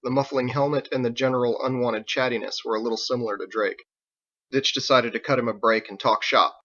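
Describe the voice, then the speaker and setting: A man's voice: medium pitch, steady tone, brisk, sharp tone, muffled recording. A man reading scripted audiobook narration as the story's narrator. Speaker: author narrating his own story.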